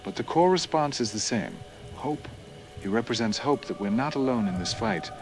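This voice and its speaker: A man playing a solemn religious studies professor in an audio drama, explaining in a deliberate, scholarly way. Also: medium pitch, lively intonation, soft background music, close to an everyday voice, low-quality recording. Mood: solemn, reflective. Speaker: professor, expert interviewee. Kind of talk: scripted expert news interview.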